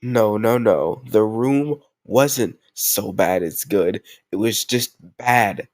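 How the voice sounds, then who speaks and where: A man's voice: lowish voice, takes it slowly, a little mellow, no room sound. A man playing a tough gang leader who is a playful, doting boyfriend in an intimate roleplay audio, teasing and mock-grumbling affectionately. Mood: playful banter.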